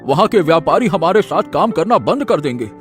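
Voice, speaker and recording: A man's voice: fairly high pitch, big pitch swings, a little fast, faint music behind. A man playing a trusting, fatherly elderly factory owner in a cartoon story. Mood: worried. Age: older.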